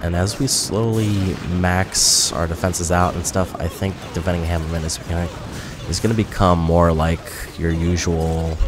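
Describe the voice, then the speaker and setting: A man's voice: low-pitched, flat delivery, moderate pace, background noise. A man giving casual, rambling commentary over his gameplay video, sounding a little nasal from a cold. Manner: rambling, self-correcting, chatty. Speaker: gaming YouTuber. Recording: music playing behind.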